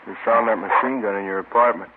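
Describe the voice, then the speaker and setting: A man's voice: on the low side, steady tone, fast, lightly acted. A man playing a businesslike police detective in a 1950s radio drama, terse, matter-of-fact and pressing. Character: businesslike male police detective grilling suspects. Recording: noisy, narrow old radio recording.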